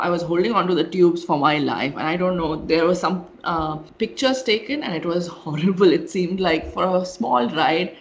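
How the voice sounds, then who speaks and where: Lower-voiced woman, lively storyteller. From India. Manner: animated storyteller, laughs mid-sentence. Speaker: podcast co-host. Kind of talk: casual chat.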